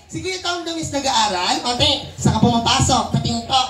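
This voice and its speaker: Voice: medium pitch, animated, fairly quick, fairly bright tone, soft background music. A Filipino ventriloquist hosting a children's puppet show with playful live banter. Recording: echoey venue with crowd noise.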